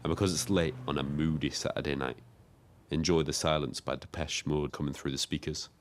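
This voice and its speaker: An actor playing a lonely, observant young British taxi driver, narrating in a measured, wry, literary way. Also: male voice, very deep voice, steady tone. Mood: wry, reflective. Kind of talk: scripted first-person narration.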